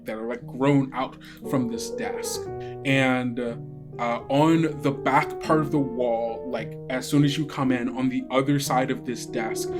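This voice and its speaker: A game master improvising narration for a tabletop actual play, haltingly and searching for words. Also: medium pitch. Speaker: game master and podcast host. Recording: music under the voice. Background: plucked guitar music.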